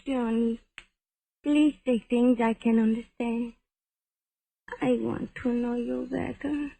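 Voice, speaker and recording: A woman playing a sultry, guarded nightclub femme fatale in a 1950s radio drama, speaking measured, stilted, foreign-sounding English. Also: on the high side, steady tone, vocal fry, dark tone, poor audio. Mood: seductive.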